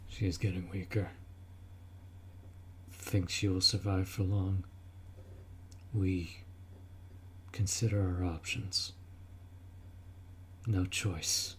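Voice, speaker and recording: A man playing a gruff, dutiful orc warrior in a fantasy roleplay audio drama, blunt and protective as he speaks to the listener. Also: low-pitched, flat delivery, slow pace, long pauses, a little hiss or hum. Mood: grave, worried.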